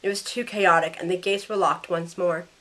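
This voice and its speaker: A woman's voice: fairly low pitch, fairly even intonation, moderate pace, sharp tone, rough recording. A woman playing a caring young heroine who narrates her own ordeal in a scripted first-person audio drama. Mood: anxious narration.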